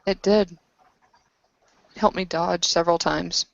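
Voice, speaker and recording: Woman as a low-voiced, solemn werewolf warrior woman. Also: fairly even intonation, slow pace, gravelly, no room sound, lo-fi sound. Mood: measured, curious. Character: battle-hardened female werewolf warrior, solemn, back from exile.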